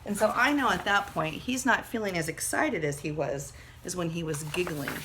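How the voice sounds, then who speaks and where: A woman teacher reading a children's story aloud with dramatic emphasis, pausing to speak directly to her pupils. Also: lowish voice, fairly bright tone, a little hiss or hum, rough recording. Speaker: second grade teacher. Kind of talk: expressive storybook read-aloud with teaching asides.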